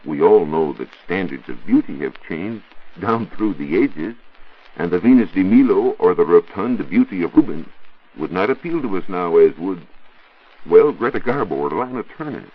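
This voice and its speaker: American man, 1940s radio science explainer. Husky, animated delivery, didactic and formal, dull vintage recording. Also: low-pitched, moderate pace. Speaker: science reporter on a radio show. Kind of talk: scripted 1940s educational radio talk. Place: radio studio.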